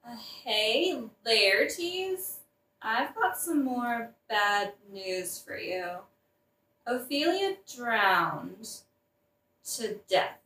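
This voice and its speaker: A woman's voice: on the high side, very animated, drawn-out words, bright, forceful tone, reverberant, recorded from a distance, exaggerated voice, low-quality recording. A woman playing a flippant, tactless queen in a modern satirical audio play, casual and matter-of-fact about grim things.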